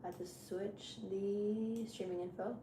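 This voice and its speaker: A woman live streamer with a deep voice, chatting casually with her viewers while she sets up a game. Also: steady tone, unhurried. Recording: echoey room with loud background noise.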